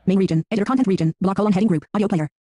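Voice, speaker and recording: A man's voice: sits high, rapid-fire, no echo. A synthetic male screen reader voice reading out interface labels in a clipped, robotic way. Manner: clipped, flat synthetic delivery.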